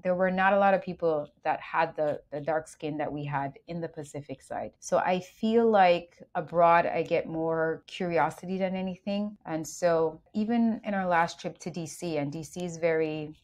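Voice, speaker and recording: Low-voiced Jamaican woman, wry and candid. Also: normal speed, hardly any pauses, darkish tone. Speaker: podcast co-host. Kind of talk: casual panel chat.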